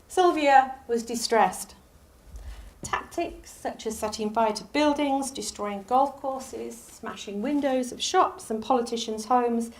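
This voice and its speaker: A woman with a Northern English accent giving a public history lecture, animated, rising on key names and dropping low for asides. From Northern England. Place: lecture hall.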